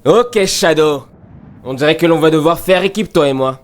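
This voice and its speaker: A man's voice: on the high side, expressive, normal speed, lightly acted. A man playing a cocky, upbeat hedgehog hero in a cartoon fan dub. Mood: determined.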